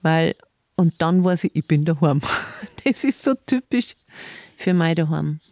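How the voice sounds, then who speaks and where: A woman, a city tour guide talking in a relaxed podcast interview, chatty and warm, often laughing and repeating words. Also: deep voice, measured pace, dry recording, studio quality.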